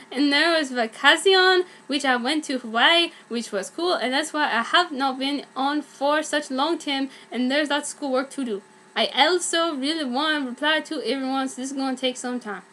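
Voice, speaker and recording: A woman acts out an overexcited teenage girl who writes fan fiction. Her voice is high-pitched and piercingly bright. She gushes breathlessly and dramatically, in the manner of a comic online reading. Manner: breathless gushing, squealing. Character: overexcited teenage fangirl fanfic author, gushing and dramatic.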